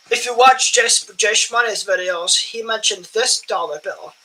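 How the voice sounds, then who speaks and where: A young man with a voice higher than most men's, talking in a loose, rambling way as he sorts coins. His tone is bright and forceful, and he often breaks off to clear his throat and laugh at himself. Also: a little hiss or hum, poor audio. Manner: chatty, self-amused, often clears throat. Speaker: coin collecting channel host. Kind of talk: casual rambling vlog chat while sorting coins.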